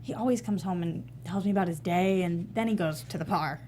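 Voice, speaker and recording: An actress reading a frank, caring wife in a script table read, wry and direct. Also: female voice, on the low side, fairly quick, darkish tone, slight background noise, lightly acted. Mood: earnest.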